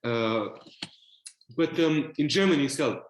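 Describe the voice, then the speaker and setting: A man with a Romanian accent speaks at a mid pitch, his voice ringing in an echoey room over a video call. He talks like a panelist working through his points, thoughtful and hesitant, full of fillers and restarts. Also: unhurried, slight background noise. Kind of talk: virtual panel discussion.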